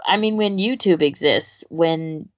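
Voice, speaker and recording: A woman's voice: sits low, a little slow. A woman co-hosting a podcast in a casual, conversational way, on slightly lo-fi audio. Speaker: podcast co-host. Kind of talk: casual chat.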